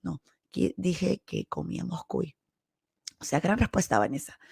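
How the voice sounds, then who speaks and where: A Peruvian woman with a Peruvian accent hosting a podcast, telling personal stories in a casual, candid and animated way. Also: lowish voice, a little slow, vocal fry, husky, dry recording, clean studio sound. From Peru. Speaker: podcast host. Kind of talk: casual autobiographical storytelling monologue.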